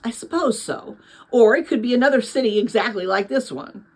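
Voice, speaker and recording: A woman's voice: a little high, brisk, lightly acted. A woman reading the part of an earnest, eager young boy in a storybook. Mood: thoughtful.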